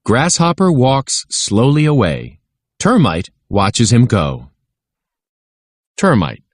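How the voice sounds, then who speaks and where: A man reading a scripted children's lesson aloud in a measured, instructional way. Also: medium pitch, huge pitch swings, no echo, clean studio sound.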